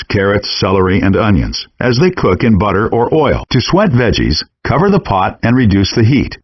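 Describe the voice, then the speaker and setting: Male chef teacher in a cooking game, husky voice, explains step by step, dry muffled compressed sound. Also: fairly low pitch, no echo. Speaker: narrator of cooking game instructions. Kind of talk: scripted instructional narration.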